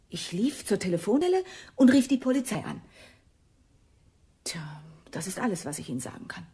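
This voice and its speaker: Breathy, uneasy witness. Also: female voice, fairly high pitch, warm tone, heavily acted. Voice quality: breathy, husky. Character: uneasy female witness, dog owner, keen to leave. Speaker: actress in a crime radio play. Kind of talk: German radio drama acting.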